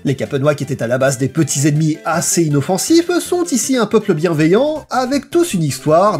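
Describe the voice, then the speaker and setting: Animated, high-pitched man narrating. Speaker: video essay narrator. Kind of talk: scripted video essay narration. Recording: voice-over with music behind. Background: background music.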